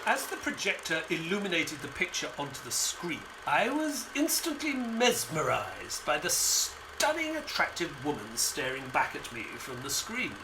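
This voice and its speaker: An actor playing an earnest, inquisitive gentleman doctor who narrates a detective audio drama. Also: fairly high pitch, noisy background.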